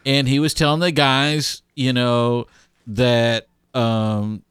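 A man's voice: mid-range voice, level tone, slow, a bit bright, smooth, no room sound, clean studio sound. A man co-hosting a podcast, telling stories in a casual, jokey, rambling way. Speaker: podcast co-host. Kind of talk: casual comedic storytelling chat.

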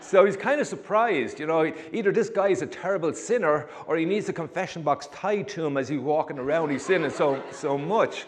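A man's voice: fairly high pitch, a little fast, few pauses. A man in his fifties with an Irish accent, telling his life story to a congregation in a chatty way, with humorous asides and frequent restarts. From Ireland. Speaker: guest giving a testimony. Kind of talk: personal testimony told to a congregation. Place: church sanctuary. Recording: church hall reverb.

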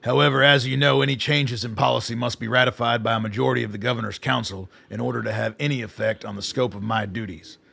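A man's voice: medium pitch, steady tone, fast talker, gravelly, few pauses, sharp tone, breathy edge, no room sound, full character voice. A man acting as a defiant middle-aged army colonel in a radio play, formal and sardonic in a clipped way. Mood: controlled, formal. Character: gruff, defiant army colonel facing down a politician.

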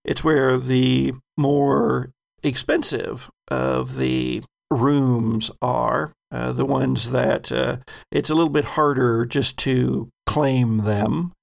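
A man narrating a tabletop game as game master, describing the scene in measured, deliberate phrases. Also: mid-range voice, fairly even intonation, vocal fry.

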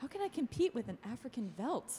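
Fretful housewife for radio drama, soft-edged mellow voice with lively pitch, reverberant sound. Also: female voice, fast, a little hiss or hum. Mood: restless, worried. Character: anxious, gentle housewife and mother. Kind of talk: radio play acting. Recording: long reverb, music behind.

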